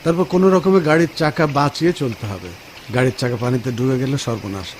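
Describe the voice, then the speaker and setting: A man's voice: medium pitch, brisk, a little hiss or hum, lightly acted. A man acting an impatient, dismissive husband in a Bengali radio drama, brusque and insistent.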